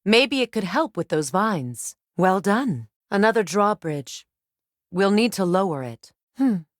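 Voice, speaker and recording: A woman playing a solemn, compassionate, determined ancient goddess in a video game, speaking in a measured, formal, earnest way. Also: mid-range voice, very animated, no echo, studio quality. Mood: focused, instructive. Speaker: video game character voice actor. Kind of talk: dramatic game dialogue.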